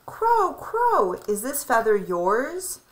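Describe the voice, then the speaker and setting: A woman's voice: on the high side, wildly swooping pitch, takes it slowly, full character voice. A woman voicing an earnest, eager little girl in a children's storybook, with an emphatic, singsong delivery. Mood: eager, calling. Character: earnest, eager little girl who treasures her feather.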